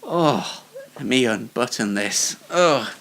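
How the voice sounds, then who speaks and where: A man's voice: medium pitch, lively intonation, takes it slowly, creaky, background noise. A man hosting a casual comedy show, rambling in a self-deprecating, mock-formal way. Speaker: show host.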